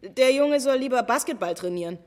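A woman playing a defiant, sharp-tongued woman in an audio drama, sarcastic and exasperated with the people helping her. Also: high-pitched, expressive, fairly quick. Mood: defiant, scornful. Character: defiant, impatient young woman seeking help, sharp-tongued. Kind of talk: naturalistic German audio drama acting.

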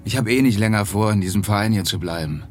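A man acting a cool police detective in a radio drama. The voice sits fairly low, laconic and guarded, jaded and evasive, over faint music. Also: fast, natural voice. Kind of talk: German radio drama dialogue.

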